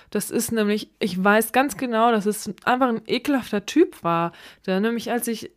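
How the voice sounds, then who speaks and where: A young woman with a German accent, co-hosting a casual podcast in a colloquial, indignant way. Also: medium pitch. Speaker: podcast co-host.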